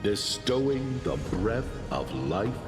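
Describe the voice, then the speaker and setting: An actor playing a solemn narrator of an ancient myth in a video game, grave, measured and dramatic, in the manner of epic storytelling. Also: male voice, mid-range voice, very animated. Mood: solemn, reverent. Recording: music under the voice.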